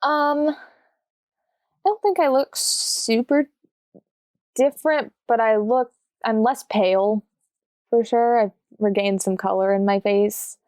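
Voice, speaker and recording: A woman's voice: fairly high pitch, slow, pauses often, a little mellow, no echo, clean studio sound. A woman playing a tabletop game, casually improvising a description of her character.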